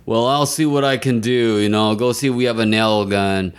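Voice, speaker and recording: A young man chatting casually on a podcast and telling animated, profane stories in a booming voice. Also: normal speed, smooth. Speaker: podcast guest.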